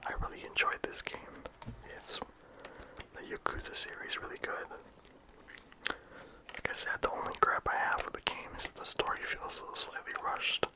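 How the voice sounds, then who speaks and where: A game reviewer talking casually in a soft ASMR whisper, with frequent "like" and "um" fillers. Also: moderate pace, long pauses. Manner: soft unvoiced whisper throughout.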